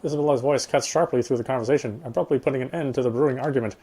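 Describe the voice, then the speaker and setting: A man's voice: mid-range voice, very fast, mellow, husky. A man reading game text aloud as a player, in the style of a let's play with casual commentary.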